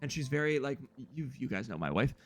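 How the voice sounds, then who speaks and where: A young man with a loud, slightly hoarse voice, rambling casually to his viewers as a live streamer while he plays a game. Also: mid-range voice, very animated, fairly quick. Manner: loud, rambling, talks straight to chat. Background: faint guitar music.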